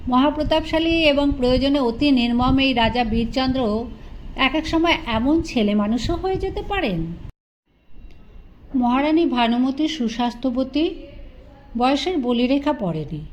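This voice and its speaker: A woman narrates literary fiction in a scripted audiobook style. Her voice sits a little high. Noticeable background noise runs under the recording. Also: low-quality recording.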